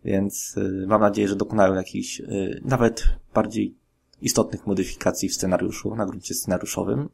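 Man, low husky voice, halting guest commentary. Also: flat delivery. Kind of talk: spoken opinion commentary.

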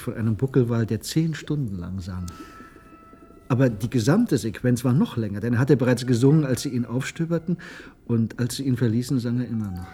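A man's voice: mid-range voice, dark tone, slight background noise, natural voice. An actor playing an impassioned, cynical male researcher in a radio play, lecturing in an emphatic, sardonic way. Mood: absorbed, marvelling. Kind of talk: German radio play acting.

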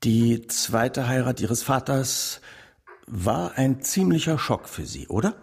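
An actor playing a suspicious chief inspector in a radio drama, with a dry, insinuating way of questioning. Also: male voice, mid-range voice, big pitch swings, takes it slowly, vocal fry, slightly rough, full character voice.

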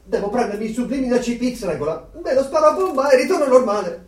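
An actor playing a nervous, furtive married man in a radio play, speaking in an anxious, halting, confiding way. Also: extremely high pitch, lively intonation. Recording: echoey room, distant mic, faint noise.

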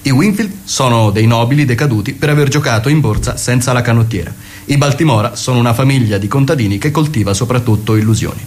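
A man's voice: medium pitch, slight background noise. A man narrating a scripted comic radio play in a mock-solemn storytelling manner. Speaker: narrator and performer.

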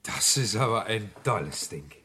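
An actor playing an eager, blunt police sergeant in a German radio crime play. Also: male voice, fairly low pitch, expressive, normal speed, noisy background, natural voice. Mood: impressed.

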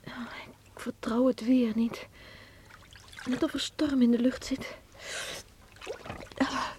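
A spirited young village girl in a radio play, high-voiced and given to breathy exclamations. She is secretive and quick to protest, heard on a recording with faint hiss. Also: flat delivery, a little mellow. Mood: secretive, musing. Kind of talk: Dutch radio drama acting, murmured monologue and heated dialogue.

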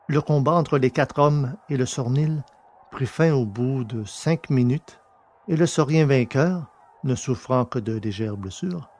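A man reading scripted adventure fiction as a dramatic audiobook narrator, his voice slightly husky. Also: medium pitch, a little mellow.

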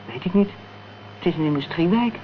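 A woman's voice: fairly low pitch, lively intonation, a little fast, close to an everyday voice. A woman acting a concerned, questioning companion in a Dutch radio crime drama. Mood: puzzled. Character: worried, inquisitive female companion in a crime thriller. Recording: dull, noisy old radio play recording.

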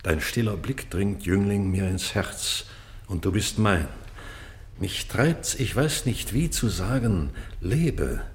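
A proud old king in a classical radio play speaks slowly in a low voice. He declaims verse with solemn weight, grieving yet commanding. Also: animated. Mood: regal, commanding. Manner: declamatory, solemn, breaking into hushed tones.

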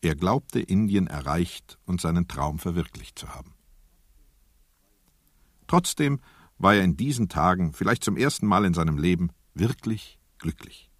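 A man narrating a radio drama as a storyteller, in a measured, scripted literary style with an ironic edge. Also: low voice, expressive, no room sound, studio quality. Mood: measured, wry. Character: sober, wry storyteller narrating a seafarer's fate.

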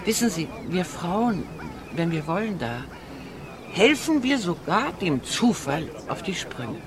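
Casting: older well-travelled lady, radio drama; reflective, teasing, expressive melody over noisy ambience. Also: on the low side, unhurried. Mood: knowing, teasing. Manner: formal, reflective, gently teasing. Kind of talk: German radio drama acting. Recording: clear background ambience noise.

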